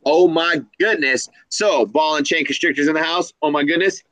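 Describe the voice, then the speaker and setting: A man's voice: on the high side, moderate pace, fairly bright tone. A man hosting a reptile keepers' live stream in a casual, slangy, upbeat style, hyping up the community. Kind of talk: casual live-stream chat with shout-outs.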